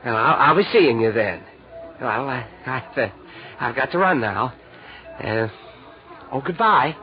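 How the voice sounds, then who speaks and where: An actor playing a flustered, excitable department store manager in a stammering 1940s radio comedy style, on a muffled old recording. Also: male voice, mid-range voice, a little slow, close to an everyday voice. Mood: smitten.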